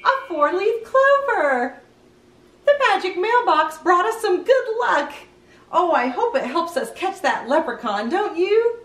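A middle-aged woman hosting a children's read-aloud, chatting in an animated, sing-song way to young viewers. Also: very high voice, measured pace, roomy sound. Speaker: children's storytime host, grandmother. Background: music.

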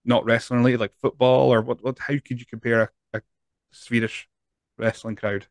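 A man's voice: medium pitch, flat delivery, normal speed, darkish tone, dry recording. A man in his thirties with a Scottish accent, hosting a podcast and asking long, rambling questions with restarts, on a close studio mic in a home studio. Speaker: podcast host. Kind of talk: casual interview chat. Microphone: headphones and a large studio mic close up.

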